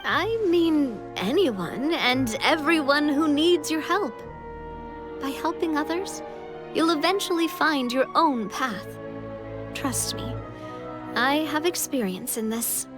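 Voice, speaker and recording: A confident, playful young lady of royal standing, with a high voice that swings expressively. She sounds encouraging and theatrical, in anime game style over music. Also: lively intonation, slow. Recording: music under the voice.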